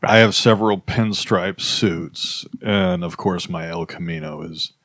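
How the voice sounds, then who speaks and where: A man improvising comedy, making pompous, mock-profound pronouncements in a deadpan way. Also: low-pitched, unhurried, no room sound, clean studio sound.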